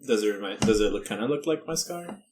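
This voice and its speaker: A man's voice: lowish voice, expressive, fast, a little mellow, slight background noise. A man playing a tabletop game, chatting casually and speaking for his character in a hesitant, playful way.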